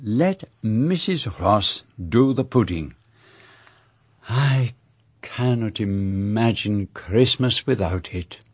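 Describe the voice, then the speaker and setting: An actor playing a courteous Belgian detective in a radio drama, with a French-speaking Belgian accent and formal, precise, gallant speech. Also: male voice, lowish voice, expressive, takes it slowly. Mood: genial.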